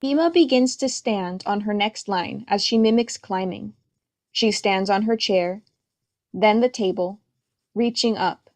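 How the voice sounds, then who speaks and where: Mid-pitched woman reading stage directions. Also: unhurried, darkish tone. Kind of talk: scripted narration, present tense.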